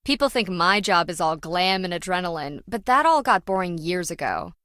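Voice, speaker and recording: A woman's voice: fairly low pitch, very animated, normal speed, fairly bright tone, clear, resonant tone, no room sound, studio quality. An actress playing a brash, slippery woman treasure hunter in a video game, with wry, breezy, slangy teasing.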